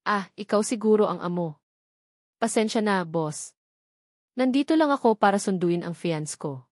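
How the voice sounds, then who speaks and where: A woman's voice: mid-range voice, animated, moderate pace, darkish tone, dry recording, close to an everyday voice. A cocky, sneering man in a narrated audio drama, as a woman storyteller reads him. His lines come mocking and pushy, read close and dry with no room sound. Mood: taunting. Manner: sneering, taunting.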